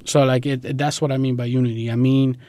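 A man's voice: medium pitch, flat delivery, fast, warm tone. A young man from Guatemala speaking informally and with slang as he answers questions in a casual interview, leaning often on filler phrases. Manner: informal, slangy, peppered with "like" and "you know".

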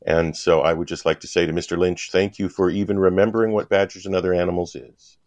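Very deep-voiced man in his fifties. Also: steady tone, fast talker, darkish tone, no room sound. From Wisconsin. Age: 50s. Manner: rambling, with many fillers and restarts. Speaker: guest cartoonist. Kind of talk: casual podcast conversation.

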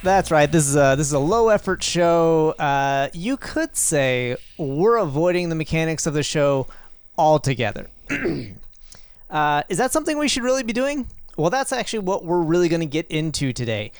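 Bright, clear-voiced podcast host. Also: male voice, a little high, sing-song, dry recording, faint music behind. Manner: upbeat, playful intros, quick rambling asides. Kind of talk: casual podcast chat.

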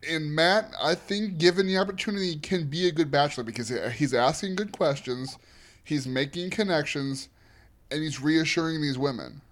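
A man co-hosting a chatty podcast, his voice sitting a little higher than most men's. He recaps with emphatic, opinionated conviction, pressing hard on his verdicts. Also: normal speed. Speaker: podcast co-host. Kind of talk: casual chat.

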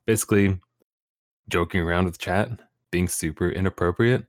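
A man's voice: low-pitched, moderate pace, no echo, studio quality. A young man, a streamer guest on a podcast, talking casually with easy laughs and rambling restarts. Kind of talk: casual interview chat.

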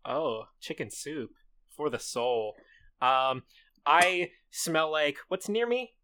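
A woman co-hosting a casual comedy podcast, her voice sitting low but with a bright, forward edge. She jokes around with a playful drawl and giggles often. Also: very animated, slow pace. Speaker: podcast co-host.

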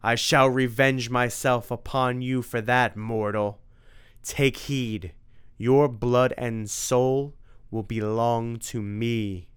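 A man voicing a vain, gloating vampire count in a read-aloud story, with loud melodramatic outbursts. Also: on the low side, normal speed, clean tone, natural voice. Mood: outraged.

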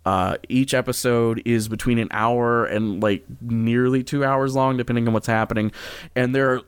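Rambling man with a clear, dry-recorded voice. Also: medium pitch, no echo. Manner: rambling, halting, backtracking mid-sentence; chuckles through his own sentences. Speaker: podcast host. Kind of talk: casual unscripted podcast recommendation.

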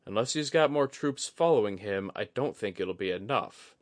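A man's voice: fairly low pitch, lively intonation, no echo, close to an everyday voice. Man as a composed young commander, dry recording. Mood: resigned, wary. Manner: measured, well-spoken, dryly amused. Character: composed, shrewd young male clan commander, courteous and dry. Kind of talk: audiobook fantasy character acting.

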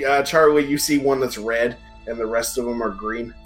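A man's voice: mid-range voice, moderate pace, a bit bright, faint music behind. A man running a tabletop game as game master, narrating off the cuff in a casual, hesitant way.

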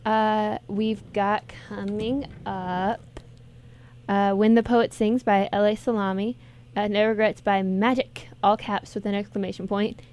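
A woman's voice: mid-range voice, level tone, measured pace, a little mellow, clean tone. Rambling woman podcast host. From southern United States. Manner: rambling, many fillers and self-corrections. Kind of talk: casual podcast chat.

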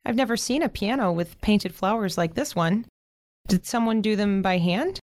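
A woman's voice: mid-range voice, animated, normal speed, a little mellow, dry recording, studio quality, lightly acted. A woman in an audio drama playing a shy, kindly teenage girl, speaking politely and tentatively. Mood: curious, polite.

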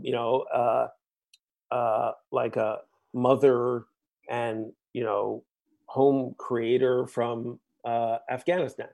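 A man's voice: medium pitch, darkish tone. Discursive man checking in with "right?". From United States. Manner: discursive, keeps checking in with "right?" and "you know". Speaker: guest musician. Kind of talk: reflective interview conversation.

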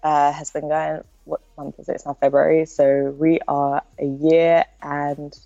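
A woman's voice: sits low, flat delivery, unhurried, gravelly, dark tone, dry recording, lo-fi sound, faint music behind. A young woman with a nasal voice, chatting casually as a podcast guest and filling her talk with likes and ums. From Britain. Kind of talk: casual interview chat.

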